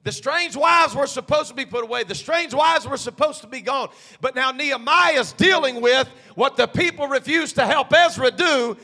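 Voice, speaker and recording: A male pastor preaching a sermon, swinging from hushed asides to shouted climaxes. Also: very high voice, moderate pace, hardly any pauses.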